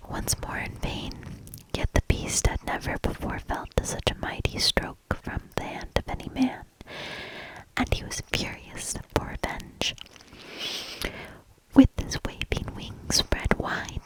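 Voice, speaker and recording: A woman reading a fairy tale aloud in a breathy, hushed ASMR whisper with a measured delivery. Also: poor audio. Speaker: ASMR storybook reader.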